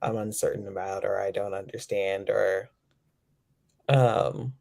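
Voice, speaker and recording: A man's voice: on the low side, fairly even intonation, measured pace, vocal fry, a little mellow. A man speaking as a poet being interviewed, reflective and tentative, repeating words as he works out a thought.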